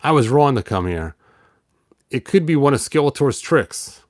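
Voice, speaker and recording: A man's voice: mid-range voice, lively intonation, moderate pace, darkish tone, no room sound, studio quality, natural voice. A man voicing an uneasy, earnest young heroine who is easily fooled, in a storybook reading. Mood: doubtful.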